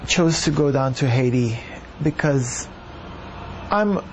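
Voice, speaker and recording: A doctor from Iran telling his story in an earnest, measured way. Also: medium pitch, expressive, soft background music, rough recording. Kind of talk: reflective personal account.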